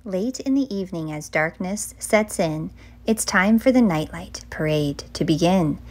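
A middle-aged woman reads a children's book aloud as a storytime host, with a clear, measured storytelling delivery. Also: lowish voice, expressive. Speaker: children's author and librarian hosting a storytime.

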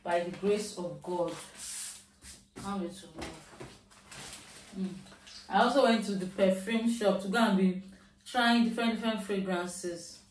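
A woman with a Yoruba-tinged Nigerian accent talks through a shopping haul at home, chatty and easy, dropping into Pidgin now and then. Her voice sits at a middle pitch. She is a little away from the mic in a roomy space. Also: unhurried, long pauses, recorded from a distance, slight background noise. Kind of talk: casual chat to camera. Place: at home, indoors.

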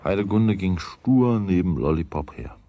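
A man's voice: low-pitched, animated, moderate pace, mellow, no echo, muffled recording. A man narrating a children's radio play as its scripted storyteller. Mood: measured.

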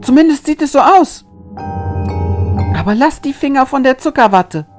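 A man's voice: very high-pitched, expressive, moderate pace, music in the background, voice pushed up. A man voicing a mysterious young girl, old beyond her years, who speaks in earnest warnings. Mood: worried, earnest.

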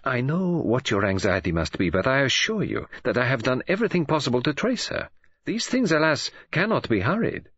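A man narrating an audiobook voices a fussy, formal older male detective, speaking in a measured, soothing manner. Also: medium pitch, big pitch swings, fairly bright tone, slightly rough, highs cut off, pitched above the performer's own voice. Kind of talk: audiobook character voice.

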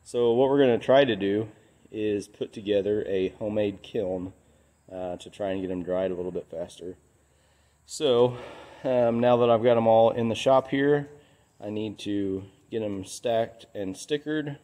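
A man's voice: on the low side, mellow. A man speaking casually and plainly as he explains a hands-on workshop project as a DIY host. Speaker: DIY builder and channel host. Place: large metal-roofed workshop building.

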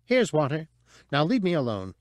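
A man's voice: on the high side, huge pitch swings, normal speed, no room sound, studio quality, pitched above the performer's own voice. Man putting on a snappish little female alien thief. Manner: snappish, demanding. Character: small, sharp-tongued female alien thief, greedy and bossy.

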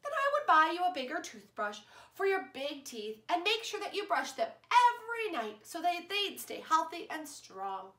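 A loving, patient mother, voiced by a woman reading aloud, soothing her child with warm promises. Her voice is high and bright, with a lively, singsong rise and fall. The room gives it a noticeable echo. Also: moderate pace, hardly any pauses, heavily acted. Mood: tender. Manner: tender, reassuring storybook delivery. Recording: roomy echo.

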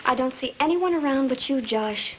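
A woman's voice: high-pitched, expressive, normal speed. An actress playing a restless, argumentative young American girlfriend in a 1950s film drama. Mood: defiant. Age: young adult. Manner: argumentative, challenging. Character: restless, defiant young girlfriend wanting fun. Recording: noisy old film soundtrack.

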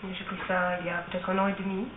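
A woman in her thirties, a photographer in an interview, talking about her photographs in a halting way full of fillers, seated at a table indoors. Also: mid-range voice, level tone, recorded from a distance, heavy background noise. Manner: hesitant, halting with many fillers. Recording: tinny archive film replayed in an echoey gallery from afar.

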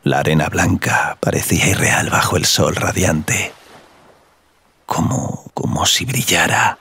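A man narrating scripted audio fiction in a slow, sensual storytelling manner. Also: low voice, sharp tone, breathy edge.

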